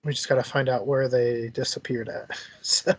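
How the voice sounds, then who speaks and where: A man's voice: medium pitch, level tone, moderate pace, low-quality recording. A man hosting an interview in a casual, conversational style. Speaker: interview host.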